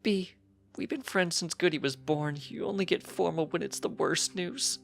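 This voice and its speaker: A man's voice: sits high, normal speed, vocal fry, soft background music, pitched above the performer's own voice. A man voicing an anxious, devoted mother pony with an emotional, pleading way of speaking in a story reading.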